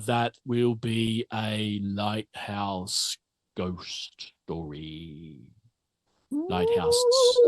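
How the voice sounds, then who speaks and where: A man's voice: medium pitch, bright, forceful tone, dry recording. A man hosting as game master, chatting casually in a rambling, jokey, self-deprecating way. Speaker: game master and stream host. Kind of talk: casual stream sign-off chat.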